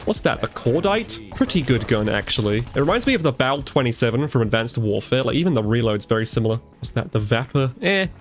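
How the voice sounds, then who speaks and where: A man giving casual live commentary over gameplay, a bit congested from a cold, chatty and reacting out loud as he plays. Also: medium pitch, sing-song, brisk, hardly any pauses, soft background music. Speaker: gaming commentator.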